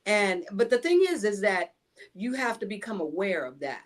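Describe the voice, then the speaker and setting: A woman's voice: mid-range voice, expressive, normal speed. A woman from Illinois, a guest on a talk show, speaking earnestly in casual chat and often restarting her sentences.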